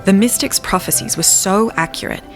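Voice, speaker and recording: A woman narrating a scripted audio fable in a measured, wryly ornate storybook manner. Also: mid-range voice, soft background music, close to an everyday voice. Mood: wry storytelling. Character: wry, grandiose storybook narrator of an island fable.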